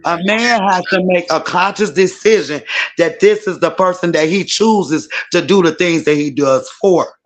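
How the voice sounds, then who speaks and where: A hoarse woman, giving frank, emphatic opinions in a casual group debate. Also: lowish voice, normal speed. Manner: frank, emphatic, says "period". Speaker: panelist.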